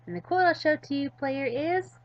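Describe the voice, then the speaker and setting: A woman voicing a goofy, excitable, ditzy teenage schoolgirl from a visual novel, rambling and dramatic. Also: sits high, moderate pace, muffled recording, pitched above the performer's own voice. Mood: dramatic, self-important.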